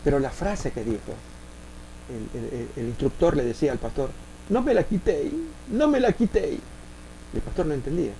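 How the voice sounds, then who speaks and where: A man with an Argentine accent, a pastor preaching a sermon and telling stories, with many pauses and filler sounds. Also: fairly high pitch, expressive, gravelly, mellow, slight background noise, rough recording. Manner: many filler pauses, acts out quoted voices. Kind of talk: sermon with spoken anecdotes.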